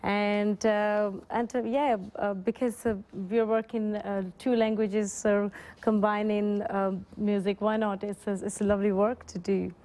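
Woman in her thirties, studio guest, halting and level in tone. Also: mid-range voice, flat delivery, dark tone. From Bangladesh. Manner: hesitant, many restarts and fillers. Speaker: singer guest. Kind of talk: studio interview. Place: television news studio.

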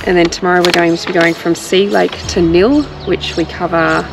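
A woman's voice: lowish voice, steady tone, brisk, creaky, over music. A woman, chatting outdoors as a travel vlogger and explaining the route. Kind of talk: casual travel vlog chat. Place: outdoors on a roadside in rural country.

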